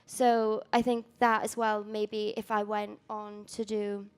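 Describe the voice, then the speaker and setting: A woman's voice: medium pitch, little pitch movement, takes it slowly, a little mellow, clear, resonant tone. A young woman in her twenties, a native Welsh speaker, presenting her magazine at a panel talk in a casual, rambling way full of ums and restarts. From Wales. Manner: casual, rambling with many ums and self-corrections. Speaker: magazine founder giving a talk.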